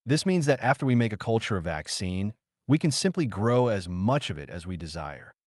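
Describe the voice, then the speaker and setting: A man's voice: lowish voice, sing-song, moderate pace, a little mellow, dry recording, clean studio sound. A man reading the quoted words of an earnest, confident French scientist in a biography audiobook, in a formal, assured manner. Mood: delighted.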